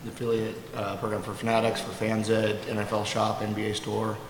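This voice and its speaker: A hoarse man speaking on a conference panel as an affiliate coordinator, in an informal manner and often clearing his throat. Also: lowish voice, monotone, brisk, heavy background noise. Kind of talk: conference presentation. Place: large conference hall. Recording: reverberant conference hall.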